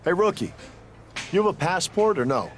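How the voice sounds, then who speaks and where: An actor playing a smug, condescending young pro wrestler in a video game cutscene. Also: male voice, high-pitched, expressive, moderate pace, background noise. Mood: taunting, dismissive. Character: cocky young male pro wrestler taunting rivals.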